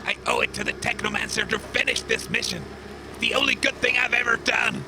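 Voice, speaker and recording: Man playing a creaky, high-voiced reckless driver. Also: a little fast, background noise. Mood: determined. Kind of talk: comedy audio drama.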